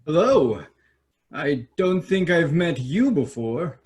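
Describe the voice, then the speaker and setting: A man's voice: fairly high pitch, very animated, slow, darkish tone. A man playing a vain, boastful young fairy-tale prince in a staged reading, pompous and self-admiring. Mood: smug. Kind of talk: staged reading of children's theater.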